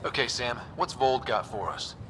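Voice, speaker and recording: An actor playing a young male explorer and leader who gives brisk, direct commands. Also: medium pitch, expressive, heavy background noise, natural voice. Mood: steady.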